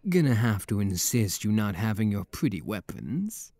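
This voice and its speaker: A man's voice: on the low side, big pitch swings, normal speed, dark tone, no echo. A man acting a suave, teasing demon prince in a romantic roleplay audio drama, with a courtly, flirtatious drawl and playful mock menace. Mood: amused, flirtatious.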